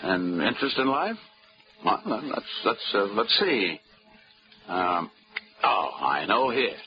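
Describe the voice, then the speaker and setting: A man's voice: on the low side, very animated, slow, noisy background. A man playing a breezy, wisecracking insurance investigator in a 1940s radio drama. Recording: dull old radio recording with music.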